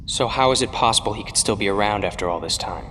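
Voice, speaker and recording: An actor playing an earnest, insistent young streetwise protégé to an older hero in an audio drama. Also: male voice, lowish voice, fast talker, fairly bright tone, a little hiss or hum, natural voice. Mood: probing. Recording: long cavernous reverb.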